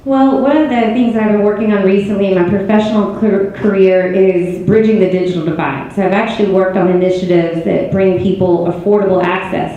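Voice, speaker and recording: A hoarse woman in her forties with a Southern American accent, giving an earnest, self-assured campaign speech at a candidate forum. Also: on the low side, dark tone. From Georgia, US. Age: 40s. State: hoarse, strained voice. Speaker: political candidate. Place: city auditorium. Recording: echoey hall, muffled sound.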